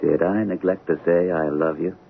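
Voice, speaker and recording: An actor playing an affectionate, bantering doctor in an old-time radio drama. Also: male voice, low voice, steady tone, moderate pace, gravelly, a little hiss or hum. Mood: teasing, affectionate. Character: amorous, bantering male hospital doctor engaged to colleague. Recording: narrow-band old radio broadcast.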